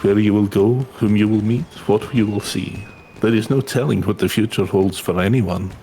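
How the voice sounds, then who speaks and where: A man voicing a gentle, earnest wandering minstrel from a video game, speaking in a solemn, measured, poetic way, with music behind him. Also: on the low side, natural voice, poor audio. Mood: heartfelt. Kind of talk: video game dialogue read aloud.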